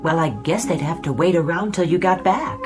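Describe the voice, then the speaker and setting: Woman acting American secretary, lowish lively voice. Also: moderate pace, over music, lightly acted. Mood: gently concerned. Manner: matter-of-fact, questioning. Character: mild, practical, loyal office secretary. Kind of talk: audio drama acting.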